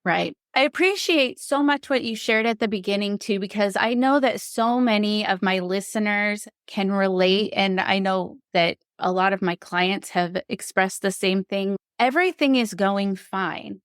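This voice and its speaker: A woman's voice: mid-range voice, smooth, no room sound, studio quality. A woman hosting a podcast, affirming and empathetic as she asks her guest open questions. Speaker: podcast host. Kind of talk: conversational interview.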